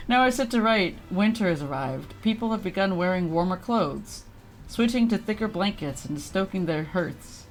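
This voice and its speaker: A woman's voice: medium pitch, lively intonation, normal speed, slight background noise, rough recording. A woman livestreaming a video game, chatting casually and reading game text aloud in a giggly, reactive manner.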